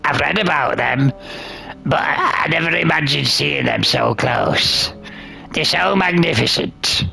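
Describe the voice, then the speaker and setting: A woman voices a curious, easily awed traveler in a fantasy visual novel. The voice is very gravelly yet bright, with slow, hesitant, earnest phrasing. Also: sits low, faint music behind, full character voice, rough recording. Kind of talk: visual novel character dialogue.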